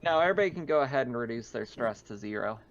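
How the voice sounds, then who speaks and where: A man's voice: medium pitch, animated, racing, dull audio. A man running a tabletop game as game master, explaining and asking in a casual conversational way.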